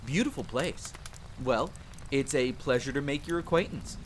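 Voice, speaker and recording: An actor playing a friendly, talkative, excitable young spearman in an immersive fantasy roleplay audio drama, with an eager, bantering manner. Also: moderate pace, close to an everyday voice, low-quality recording. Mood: curious, jovial. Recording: constant rain and rumble behind voice. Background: rain, campfire ambience, rolling thunder.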